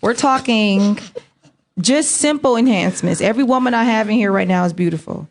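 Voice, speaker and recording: A woman's voice: medium pitch, expressive, brisk, vocal fry. A woman hosting a casual beauty talk show indoors, joking and laughing with loose filler-heavy speech. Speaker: host. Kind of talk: casual chat. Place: indoor set, table of guests.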